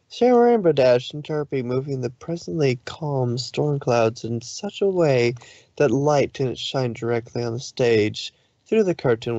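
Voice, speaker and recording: A man's voice: mid-range voice, a little mellow, dry recording, muffled recording. A man narrating a fan fiction story aloud in an informal read-through.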